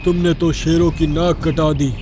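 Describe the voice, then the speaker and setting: A man's voice: a little high, flat delivery, normal speed, darkish tone, faint music behind, close to an everyday voice. A man playing a bullying cartoon lion. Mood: arrogant. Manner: boastful, domineering. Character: arrogant male lion invader, bullying. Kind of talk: cartoon dubbing.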